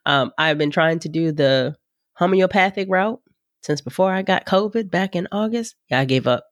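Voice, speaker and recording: A woman's voice: lowish voice, expressive, moderate pace, dry recording, studio quality. Congested, nasal woman podcast host. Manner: chatty, rambling, folksy, addresses listeners as y'all. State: congested, nasal from sinus infection. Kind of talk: casual podcast intro chat.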